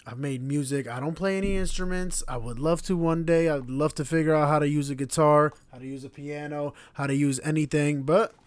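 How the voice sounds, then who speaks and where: A man, hosting a podcast in a relaxed, proud monologue to camera. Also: on the high side, a little fast, hardly any pauses, no room sound. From born in Slovenia, raised in Connecticut. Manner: relaxed, proud, repetitive phrasing. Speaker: podcast host. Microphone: camera mic.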